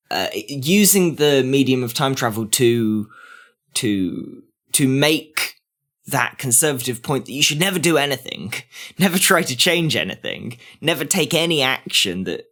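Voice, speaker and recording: A man co-hosting a discussion podcast, arguing emphatically in halting bursts. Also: medium pitch, fairly bright tone. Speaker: podcast co-host.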